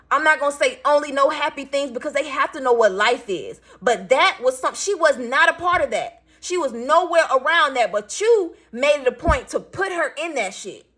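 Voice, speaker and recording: Raspy, blunt woman venting to camera. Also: high voice, lively intonation, normal speed, a bit bright. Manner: blunt, profane, emphatic. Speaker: single parent vlogger.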